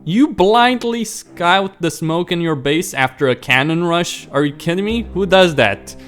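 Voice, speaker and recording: A man acts out a cocky young male pro gamer in a visual novel. He is a hot-tempered sore loser, incredulous and emphatic, with his pitch pushed somewhat up. Music plays clearly behind him. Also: lively intonation, a little slow, a bit bright. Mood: indignant. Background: background music.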